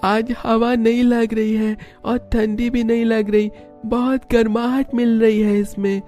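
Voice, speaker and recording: A little child's voice, pitched far up from his own. The cartoon child sounds sweet, earnest and affectionate. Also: level tone, a little slow, warm tone, soft background music. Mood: contented. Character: grateful, affectionate small child. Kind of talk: Hindi cartoon story voice acting.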